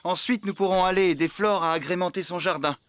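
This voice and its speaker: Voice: mid-range voice, fairly even intonation, brisk, dry recording, natural voice. An actor playing a chatty, muddle-headed, good-natured farm helper in a children's cartoon dub. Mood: busy, muddled. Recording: loud music behind the voice.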